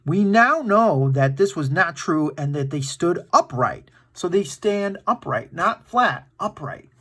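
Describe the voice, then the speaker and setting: A man's voice: on the high side, animated, unhurried, fairly bright tone. A man reading a factual children's book aloud as storytime host, breaking into informal, encouraging asides to his young listeners. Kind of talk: read-aloud with casual asides to kids.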